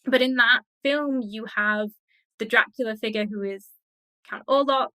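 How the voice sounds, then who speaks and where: A woman's voice: a little high, fairly even intonation, moderate pace, bright, forceful tone, dry recording, rough recording. A woman speaking as a scholarly interview guest, explaining in an academic, emphatic way.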